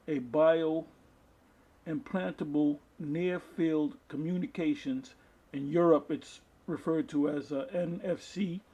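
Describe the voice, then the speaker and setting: A man preaching informally online, reading aloud and commenting in a halting way, often restarting his phrases. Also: on the high side, dark tone, breathy edge. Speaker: preacher reading articles and scripture to an online audience.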